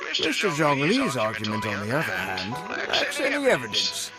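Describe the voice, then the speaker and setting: A man's voice: mid-range voice, huge pitch swings, moderate pace. A man voicing an earnest, argumentative scholar in a video game, with formal, emphatic speech. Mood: challenging. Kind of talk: English video game voice acting. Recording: game audio under very loud music.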